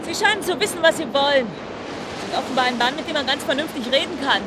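An actress in a German radio drama playing a sharp, wary wife confronting a menacing stranger. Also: female voice, high voice, animated, a little fast. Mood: wary, calculating. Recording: loud surf noise behind. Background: waves on a beach.

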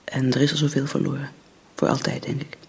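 An actress playing a desperate, frightened alcoholic woman in a radio drama, with a husky, breathy voice and halting, broken phrases. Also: very deep voice, monotone, darkish tone, a little hiss or hum, low-quality recording. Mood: despairing, halting. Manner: halting, broken phrases, often near whispering.

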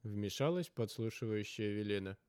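Mellow low-voiced male story narrator. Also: expressive, moderate pace, clear, resonant tone, no echo. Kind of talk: scripted literary narration with retakes.

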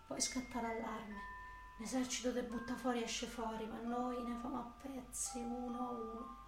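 A woman's voice: on the high side, level tone, moderate pace, over music, natural voice. An actress playing a volatile, foul-mouthed young working-class woman from Rome in a radio drama, with a Roman accent and coarse slangy delivery. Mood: dreamy, dazed. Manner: coarse slangy street talk. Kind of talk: radio drama acting with long monologue. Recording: echoey room with faint noise.

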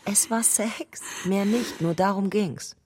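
Hurt wife in a radio play, raspy medium voice, strained and insistent. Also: female voice, medium pitch, slow pace, a little mellow, no echo, studio quality. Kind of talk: radio drama acting.

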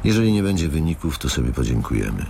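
An actor playing a cold, menacing elderly company boss in a radio drama, gravelly and coolly formal, deliberate and controlling. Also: male voice, extremely low pitch, very animated, warm tone, slight background noise, heavily acted. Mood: curt, commanding. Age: older.

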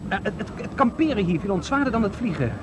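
A man's voice: high voice, big pitch swings, quick pace. An actor playing Wilbur Wright, an earnest inventor proudly explaining his flying machine in a 1970s radio play. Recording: radio play with loud outdoor background noise.

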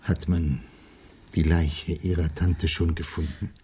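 An actor playing an earnest, troubled gentleman in a German radio drama, polite and formal in a measured way. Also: a bass voice, flat delivery, slight background noise. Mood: grave, remorseful.

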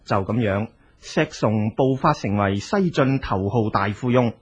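A man's voice: fairly low pitch, measured pace, breathy edge, muffled recording. A man with a Cantonese accent narrating a folk tale as a scripted audiobook storyteller.

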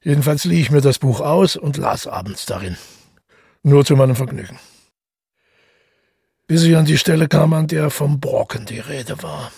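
Husky, gravelly, brooding male narrator. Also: on the high side, expressive, normal speed, long pauses, a little mellow, no room sound, clean studio sound, exaggerated voice. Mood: uneasy recollection. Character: brooding, haunted male first-person narrator recalling the past. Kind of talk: literary audio drama monologue.

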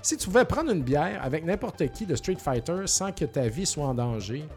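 A man's voice: a little high, big pitch swings, normal speed, over music. A man in his forties with a Québécois French accent, hosting a casual podcast in a talkative, informal, slangy way. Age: 40s. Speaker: podcast host. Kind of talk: casual chat, reading listener questions.

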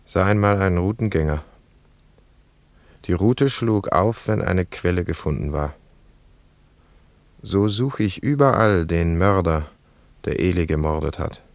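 An actor playing a brooding man searching for a murderer in a poetic radio play, speaking in a measured, solemn, questioning way. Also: sits low, lively intonation, no room sound. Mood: searching, pained. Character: brooding, searching mourner hunting a child's murderer.

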